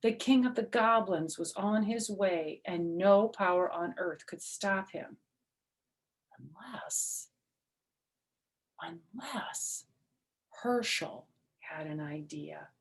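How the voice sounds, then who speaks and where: A woman narrating a children's story aloud in an expressive, dramatic and deliberate way. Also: lowish voice. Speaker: storyteller reading a picture book aloud.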